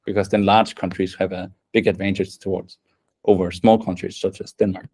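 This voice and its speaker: A man's voice: sits low, level tone, brisk, darkish tone. A young man presenting a technical workshop in a casual way, thinking aloud with many fillers and restarts. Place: event room.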